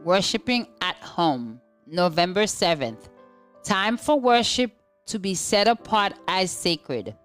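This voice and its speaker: A woman giving a measured, solemn reading of a scripted devotional. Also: fairly low pitch, very animated, soft background music. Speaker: devotional reader.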